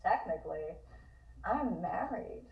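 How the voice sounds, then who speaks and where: A woman playing a cool, sharp-tongued flapper, dry and aloof, in a table read. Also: medium pitch, expressive, takes it slowly, gravelly. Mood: dry, guarded. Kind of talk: comic script table read. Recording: echoey, muffled call audio.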